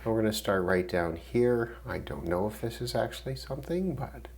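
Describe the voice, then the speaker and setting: A man's voice: on the low side, lively intonation, moderate pace. A man giving casual, unscripted commentary as a radio hobbyist, hesitant and thinking aloud as he goes. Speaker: shortwave radio hobbyist demonstrating a receiver. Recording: clearly noisy, loud radio static.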